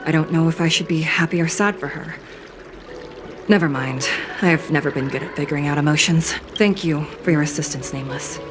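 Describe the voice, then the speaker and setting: A woman playing a composed, emotionless judge with an artificial body, speaking in a measured, formal way for a video game. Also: deep voice, over music, natural voice. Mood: calm, detached.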